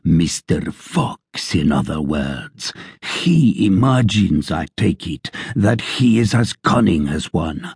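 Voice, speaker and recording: Storybook figure: a man doing a loud, boastful sergeant, gravelly and husky, big swooping jumps. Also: deep voice, no room sound, studio quality, full character voice. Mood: blustering. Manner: bellowing, mocking, boastful. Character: blustering big male soldier sergeant, loud.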